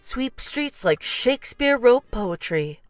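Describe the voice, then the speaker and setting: A woman narrating a children's storybook in an expressive, deliberate read-aloud style. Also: a little high, dry recording. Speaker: storybook reader.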